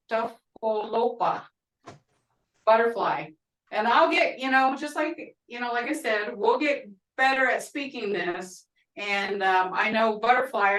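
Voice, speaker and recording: A woman with an Oklahoma twang teaching a language class over a video call, chatty and quick to laugh. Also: a little high, a bit bright. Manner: chatty, laughing often, storytelling asides. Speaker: language instructor. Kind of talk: casual online class teaching. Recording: roomy, distant video-call audio.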